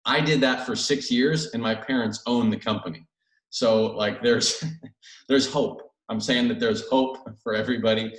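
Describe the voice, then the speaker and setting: Man, fairly low voice, casual conversational storyteller, guest on a video call, echoey room, away from the mic. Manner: casual, conversational, loosely phrased with many hedging asides. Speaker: guest speaker. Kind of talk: informal personal storytelling on an online call. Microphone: video call, away from the mouth. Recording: roomy, distant microphone on online call.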